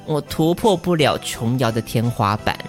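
A woman's voice: a bass voice, lively intonation, normal speed, faint music behind. A woman with a Taiwanese Mandarin accent, bantering casually as a podcast co-host in a wry, teasing, mock-earnest manner.

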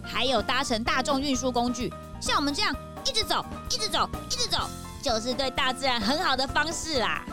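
A woman playing an eager, excitable child detective sidekick in a children's audio drama, full of exclamations. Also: high voice, brisk, hardly any pauses, over music. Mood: explaining.

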